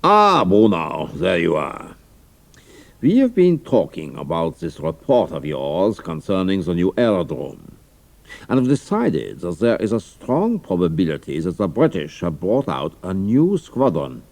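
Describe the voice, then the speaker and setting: Pompous German commander, low gravelly voice, formal, authoritative, audio drama. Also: male voice, big pitch swings, measured pace, a little mellow, heavily acted. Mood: commanding, pompous.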